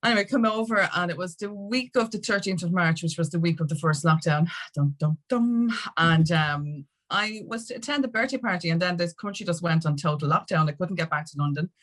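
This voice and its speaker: Low-voiced Irish woman, chatty and informal. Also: a little fast, hardly any pauses, fairly bright tone. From Ireland. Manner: chatty and informal, with mild swearing. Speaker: podcast guest, music consultant and campaigner. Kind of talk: casual chat, personal storytelling.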